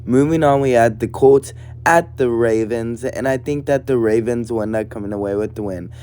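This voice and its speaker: A man with a raspy, slightly hoarse voice, hosting casual sports prediction commentary in a matter-of-fact way. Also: medium pitch, normal speed, mellow, slight background noise. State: hoarse from partly lost voice. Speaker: sports prediction video host.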